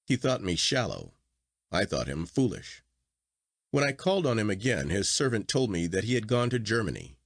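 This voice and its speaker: A man narrating a classic literary story as an audiobook reader, in an expressive, dramatic storytelling manner. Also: lowish voice, normal speed, no echo, studio quality.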